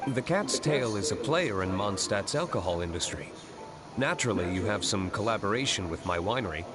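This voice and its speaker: A lowish, refined young gentleman of an anime game, played by a male actor. He is formal and composed, yet his intonation moves freely, with loud music behind him. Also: lively intonation, lightly acted. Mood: polite, composed. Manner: measured, formal, understated. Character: composed, formal, aristocratic young winery owner. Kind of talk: anime video game dialogue acting.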